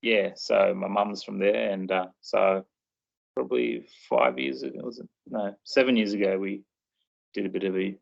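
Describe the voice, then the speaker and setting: Gravelly low-voiced man, laid-back host. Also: steady tone, moderate pace. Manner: laid-back, loose and rambling. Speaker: podcast host. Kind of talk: casual chat. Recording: dull lo-fi recording.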